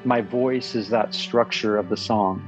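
A man in his fifties, a musician answering interview questions in an earnest, thoughtful way, often pausing and restarting. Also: fairly low pitch, steady tone, soft background music. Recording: slightly dull recording.

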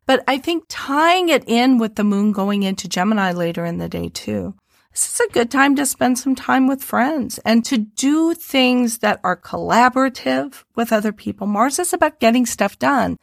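A woman's voice: a little high, moderate pace, creaky, dry recording. Woman, Midwestern American twang, podcast co-host, easygoing explainer, dry close-miked. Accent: Midwestern American, a little twangy. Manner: explanatory, relaxed, uses homely images. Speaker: astrologer co-host. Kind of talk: podcast astrology chat.

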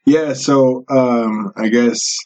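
A young man from Colorado, a rapper guest on a podcast, talking in a laid-back, measured way. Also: medium pitch, fairly even intonation, a little mellow. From Colorado, USA. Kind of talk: casual podcast interview.

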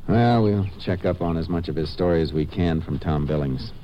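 Terse low-voiced Texas Ranger, played by a man. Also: lo-fi sound, close to an everyday voice. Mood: businesslike. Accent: American. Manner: terse, measured questioning. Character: calm, probing male Texas Ranger investigator. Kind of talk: 1950s radio western acting. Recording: noisy vintage radio drama recording.

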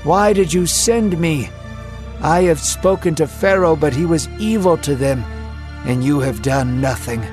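A man's voice: on the high side, measured pace, a little mellow, voice pushed up, natural voice. A man playing an anguished Moses. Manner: pleading, distressed. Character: anguished, guilt-ridden male prophet. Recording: music clearly underneath.

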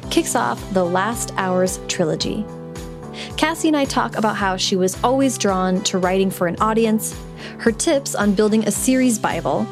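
Upbeat chatty woman podcast host. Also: mid-range voice. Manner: upbeat, chatty, quick bursts of speech. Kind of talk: scripted intro then casual interview chat.

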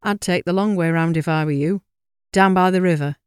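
Woman putting on a slow elderly northern countryman. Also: lowish voice, smooth, no room sound, clean studio sound, lightly acted. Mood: wary, kindly. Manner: slow, plain-spoken. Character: slow-spoken elderly countryman walking his dog.

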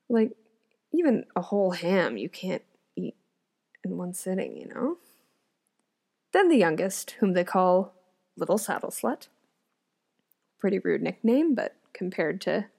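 A woman's voice: medium pitch, darkish tone. A young woman from Canada reading an old fairy tale aloud, breaking off for laughing, joking asides. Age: young adult. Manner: laughs often, joking commentary between passages. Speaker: guest reader.

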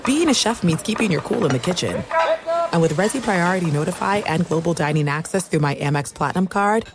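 A woman voices a scripted commercial in a notably low pitch. She speaks quickly with lively intonation, over faint background noise. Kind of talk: scripted ad read.

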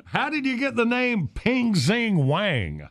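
A man's voice: very high voice, huge pitch swings, slow pace, a bit bright. A man hosting a morning radio show, bantering and laughing often. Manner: laughing, playful.

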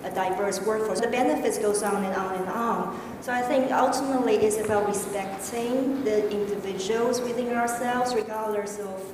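Quiet measured woman in a big echoey hall. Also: medium pitch, steady tone. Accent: non-native English accent. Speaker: panel speaker. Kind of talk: panel discussion. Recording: echoey hall, distant mic, background noise.

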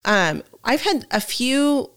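A woman hosting a podcast in a casual, effusive way, her voice raspy as she gets over a head cold. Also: high voice, lively intonation, slow pace, a bit bright. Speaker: podcast host. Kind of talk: casual, friendly chat.

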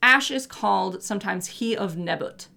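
Woman co-host, casual explainer, fillers and hedges, gravelly creak. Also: mid-range voice, normal speed, bright, forceful tone. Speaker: podcast co-host. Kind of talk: casual chat about mythology.

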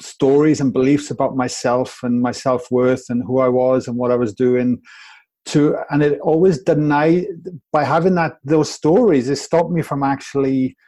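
A man's voice: mid-range voice, fairly even intonation, moderate pace, warm tone. A Welsh man in his forties, a guest on a podcast over a video call, reflective and rambling with many restarts. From Wales. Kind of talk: podcast interview.

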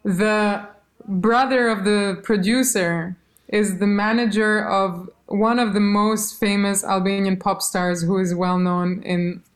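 A woman musician being interviewed, telling stories in a lively, casual way and quoting others as she goes. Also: medium pitch, takes it slowly, rough recording. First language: German. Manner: animated storytelling, quotes others, laughs. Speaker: musician guest.

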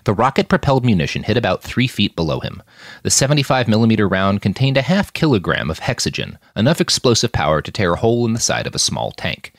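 A man narrating fiction in an expressive audiobook style, acting out the dialogue as he reads. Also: on the low side, quick pace, dry recording, studio quality. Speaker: fiction podcast author and narrator.

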